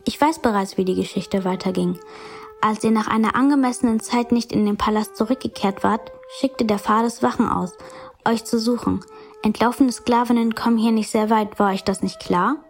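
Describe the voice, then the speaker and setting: A woman's voice: fairly high pitch, steady tone, normal speed, natural voice. A woman playing a noblewoman questioning her slaves in a radio play, probing and authoritative.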